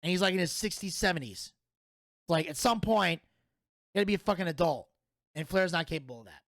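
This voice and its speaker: A man's voice: sits high, lively intonation, a little fast, fairly bright tone, dry recording, studio quality. A man in his thirties hosting a solo podcast, giving a blunt, profane and opinionated review. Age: 30s. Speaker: podcast host.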